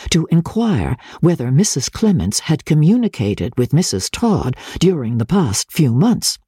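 Female narrator for literary audiobook: deep, mellow, husky tone, deliberate formal phrasing, dramatic delivery. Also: no room sound, studio quality. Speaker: audiobook narrator and radio reader. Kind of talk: dramatic scripted reading of classic literature.

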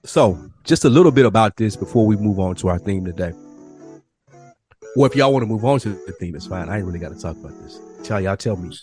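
Animated man co-hosting a podcast chat. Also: on the low side, fast, soft background music. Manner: animated, rising sharply when worked up. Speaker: podcast co-host.

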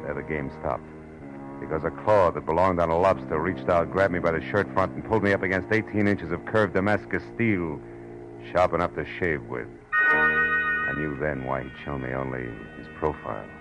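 A hard-boiled private eye with a low voice and a terse, sardonic way of talking. He narrates like a world-weary gumshoe on 1940s radio, with music clearly behind him on the old recording. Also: normal speed, rough recording. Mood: wry narration. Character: hard-boiled, wry male private detective narrating his case. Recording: vintage radio drama with music.

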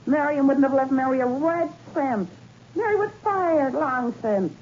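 A dull old radio recording carries a tough, sassy dame from a 1960s thriller. Her voice is high and swings widely. She is curt, cynical and sarcastic, brushing people off. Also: lively intonation, a little slow, a little mellow, a little hiss or hum. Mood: scornful, defiant. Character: tough, sassy, cynical female former maid.

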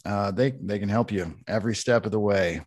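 A man's voice: on the low side, fairly quick, a little mellow. A man co-hosting a casual podcast, talking loosely and laughing often while reading a sponsor spot. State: high on cannabis. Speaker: podcast co-host. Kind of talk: casual chat with sponsor read.

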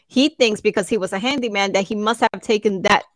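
Woman, native Spanish speaker, mid pitch, frank and opinionated, dry close recording. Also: fairly quick, dry recording. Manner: frank and opinionated, teasing her co-host. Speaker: podcast co-host. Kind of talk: casual chat.

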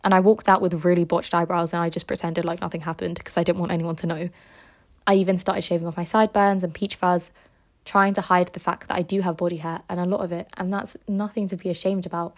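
A woman's voice: lowish voice, level tone, fast. Young woman hosting a podcast. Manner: earnest and personal, reading from a script. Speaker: podcast host. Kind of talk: scripted essay-like narration.

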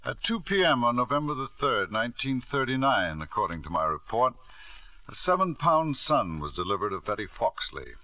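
Animated, proud older father. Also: medium pitch, moderate pace, dry recording. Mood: insistent. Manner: insistent, smooth-talking, pressing. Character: proud, stubborn, wealthy older father, self-justifying. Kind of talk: 1940s-50s radio drama acting.

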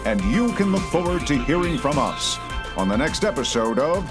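Animated male announcer over music. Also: fairly high pitch, moderate pace. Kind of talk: scripted podcast credits announcement.

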